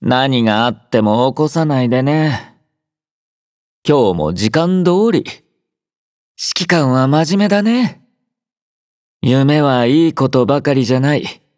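An actor playing a gentle, quietly caring young male android soldier in a Japanese game, soft-spoken, drowsy and composed, with a mildly teasing manner. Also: mid-range voice, lively intonation, slow, pauses often, no room sound, clean studio sound. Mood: calm, caring. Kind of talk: Japanese game character voice acting.

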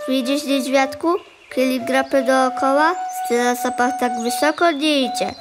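A woman voices a clever, kind young male sheepdog in a highland audio play. The voice is high and reassuring, with a Polish Goral accent. Music is clearly heard underneath. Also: steady tone, normal speed, lightly acted. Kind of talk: audio play dialogue in dialect.

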